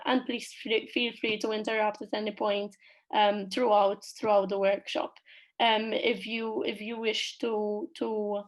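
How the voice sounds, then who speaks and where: A woman opening an online workshop as moderator, hesitant with many fillers and repeated words, speaking over a video call. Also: a little high.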